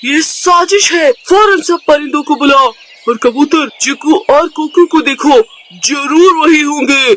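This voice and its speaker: Man voicing a stern, high-pitched peacock king. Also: a little slow, few pauses, fairly bright tone, slight background noise. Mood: outraged, commanding.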